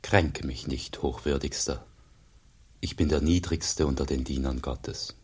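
A humble wandering monk in a radio play; low, breathy, soft-spoken. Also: male voice, steady tone, unhurried, full character voice. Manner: humble, quiet.

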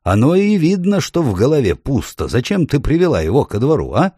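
A man's voice: on the low side, very animated, moderate pace, mellow, slightly rough, no echo, studio quality, voice pushed up. A man voicing a grumpy, hard-of-hearing old male dwarf lord in a storybook, muttering irritably. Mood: grumbling, scornful. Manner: irritable muttering, mishearing and grumbling.

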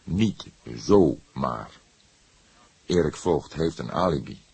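A man voicing an older grey-haired police detective in an audiobook, calm, wry and disapproving, speaking in an unhurried, lecturing way. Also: extremely low pitch, creaky, slightly rough, no echo, full character voice. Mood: measured. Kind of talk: audiobook character voice.

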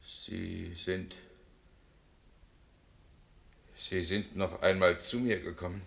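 A man's voice: low voice, little pitch movement, a little slow, gravelly, a bit bright, heavily acted. An actor playing a condemned man who pleads his innocence from his cell. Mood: weary. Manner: impassioned, crying out his innocence. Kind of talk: radio drama acting.

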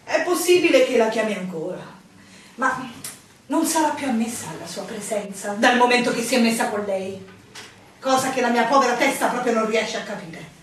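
Radio play: actress as haughty, protective innkeeper woman, admonishing, higher-pitched, very roomy sound. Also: lively intonation, normal speed, slight background noise, close to an everyday voice. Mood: indignant, proud. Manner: imperious, admonishing, formal. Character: stern, condescending innkeeper woman, protective and proud. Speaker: stage actor in a radio play. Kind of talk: theatrical radio drama dialogue. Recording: very echoey, distant, music behind.

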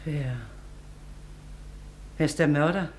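An actress playing a stern, suspicious middle-aged wife in a radio drama, with a curt, insistent way of talking. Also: female voice, low-pitched, steady tone, slow. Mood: shaken, subdued. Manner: curt, matter-of-fact, insistent. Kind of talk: 1950s German radio play acting. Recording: very echoey, reverberant, hissy 1950s radio recording.

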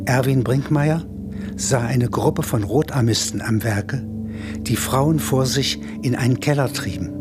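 A man's voice: mid-range voice, measured pace, music in the background. A man narrating a literary radio play.

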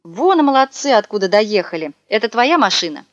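A woman's voice: mid-range voice, big pitch swings, a little fast, no room sound, lightly acted. A woman voicing a sly young male swindler in a storybook reading, whining and melodramatic, heard on a dull, dry recording. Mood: wheedling. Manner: wailing, wheedling, melodramatic.

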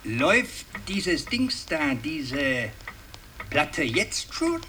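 A pompous old aristocratic father in a radio play, speaking rather high with wide swings of pitch. He is fussy, halting and ceremonious, in a distant, noisy old recording. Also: very animated, sharp tone, exaggerated voice. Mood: anxious, doubting. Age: older. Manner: halting, ceremonious, long-winded. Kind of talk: radio drama acting.

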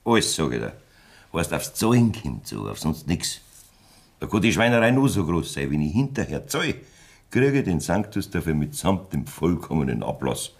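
Lecherous, boastful Bavarian farmer in his fifties; very low husky voice, swinging delivery, radio play. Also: big pitch swings, normal speed, full character voice. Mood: defiant, vengeful. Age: middle-aged. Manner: boastful, coarse, earthy. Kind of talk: dialect radio drama acting.

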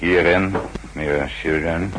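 A man acting a formal manservant and secret agent in a 1960s radio drama, measured, precise and politely menacing. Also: low voice, steady tone. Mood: deferential, composed. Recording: old radio recording with faint hum.

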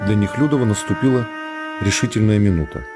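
A man narrating a literary work for radio, in a measured and expressive storytelling manner. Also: fairly low pitch, loud music behind the voice.

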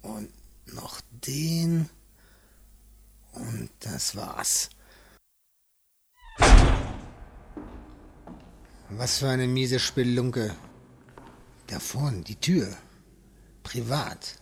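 An actor playing a cocky, hot-tempered special agent who wisecracks and threatens in an amateur pulp audio drama. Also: male voice, medium pitch, expressive, extremely slow, vocal fry, long pauses, a little hiss or hum, full character voice. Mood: muttering, sly.

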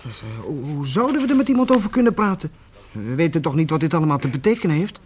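An actor playing a respectful, anxious young apprentice in a Dutch radio play, hesitant and deferential. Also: male voice, fairly high pitch, very animated, quick pace, husky. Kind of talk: Dutch radio drama acting.

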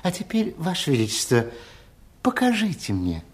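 An actor playing a wise, solemn doctor-magician in a fairy-tale audio play, courteous and formal. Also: male voice, fairly high pitch, huge pitch swings, moderate pace. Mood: grave, measured.

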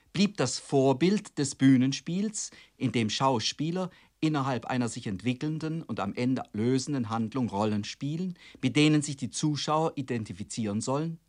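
A man delivering a formal scripted radio lecture in the style of the late 1960s, in a measured, emphatic and rhetorical manner. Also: mid-range voice. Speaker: head of radio drama department introducing a play.

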